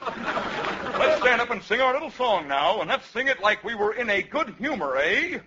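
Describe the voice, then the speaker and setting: An actor playing a blustery, pompous ice cream sales boss in a 1950s radio comedy, with a falsely hearty pep-talk delivery. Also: male voice, very high-pitched, big pitch swings, moderate pace, pushed and bright, breathy edge, exaggerated voice. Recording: noisy, dull 1950s radio recording.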